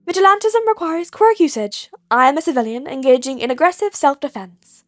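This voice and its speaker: A woman voicing a deadpan, mouthy, reckless teenage boy vigilante who argues glibly in an audio story. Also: sits high, brisk, dry recording, studio quality. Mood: defiant, glib. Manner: glib, unbothered, argumentative.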